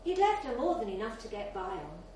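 A woman's voice: mid-range voice, sing-song, brisk, a little mellow. Woman reading a story, very echoey room. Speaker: reader at a live short story event. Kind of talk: scripted short story reading, literary narration. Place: bar, live reading event. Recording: very echoey, distant mic, faint noise.

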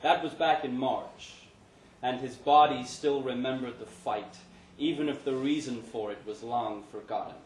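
A man giving a measured, dramatic live reading of a literary short story. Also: medium pitch. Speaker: story reader. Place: pub event room, live reading. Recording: echoey pub room, noticeable background noise.

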